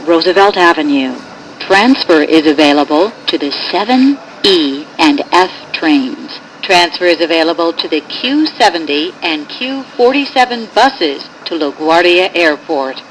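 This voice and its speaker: Female American transit announcer. Unhurried, formal, measured phrase by phrase, lower register, noisy train-car recording. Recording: clear vehicle and air-conditioning noise.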